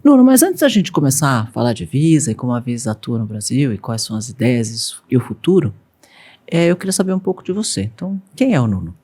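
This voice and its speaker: A woman's voice: extremely low pitch, very animated, fast talker, dark tone, breathy edge. A middle-aged woman hosting an interview podcast, effusive and conversational. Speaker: podcast host.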